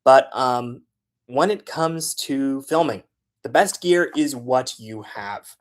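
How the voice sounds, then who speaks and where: A man talks through his filmmaking process in a relaxed, conversational way. He often pauses on filler sounds while he works out his next point. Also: medium pitch. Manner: loose and chatty, frequent filler sounds. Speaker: transit video creator. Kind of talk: casual how-to talk.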